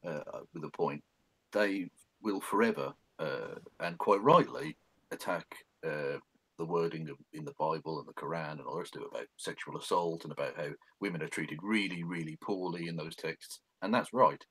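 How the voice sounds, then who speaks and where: A man's voice: low-pitched, moderate pace, bright, forceful tone, breathy edge, dry recording, low-quality recording. A man co-hosting a casual discussion, giving opinions in a blunt, sardonic way. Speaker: occasional co-host.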